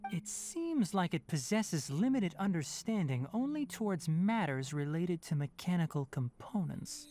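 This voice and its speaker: An actor playing a young, knowledgeable forest ranger in a video game, instructive and practical with a dry candour. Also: male voice, high-pitched, big pitch swings, measured pace, mellow. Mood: curious, analytical. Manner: instructive, matter-of-fact, slightly dry.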